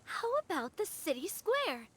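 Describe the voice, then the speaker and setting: A woman's voice: high-pitched, very animated, unhurried, a bit bright, a little hiss or hum, full character voice. A woman voicing an eager, chirpy young female adventurer in a fantasy video game. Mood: curious, upbeat. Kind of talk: animated game voice acting.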